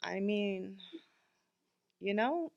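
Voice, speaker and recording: A woman's voice: mid-range voice, animated, very slow, vocal fry, a little mellow, lo-fi sound. A woman in her fifties co-hosting a podcast, talking candidly and bluntly, with lots of filler likes. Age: 50s. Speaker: podcast co-host. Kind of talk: casual chat.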